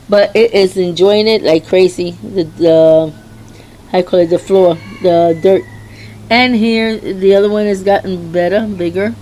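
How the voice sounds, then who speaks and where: A middle-aged woman with a slightly hoarse, mid-pitched voice walks through her garden outdoors, talking casually about her plants. She rambles and often stops to restart her sentences. A faint outdoor hum sits under the handheld recording. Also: dark tone, poor audio. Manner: rambling, chatty, halting with restarts. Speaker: home gardener. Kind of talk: casual garden tour vlog.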